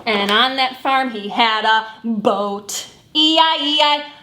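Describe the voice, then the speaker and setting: A woman hosting a children's story time, reading and singing picture books in an animated way and acting out the sounds. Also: fairly high pitch, slow pace, roomy sound, a little hiss or hum. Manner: animated, acts out animal sounds and song refrains. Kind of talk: sung picture-book read-along for children.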